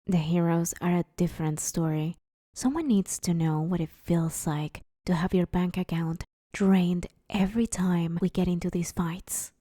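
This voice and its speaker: A woman's voice: lowish voice, steady tone, measured pace, mellow, no echo, clean studio sound. A woman playing a broke, sheepish but scheming villain in a conversational comedy audio roleplay, wheedling her neighbor in an awkward, hesitant way. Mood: defensive, aggrieved.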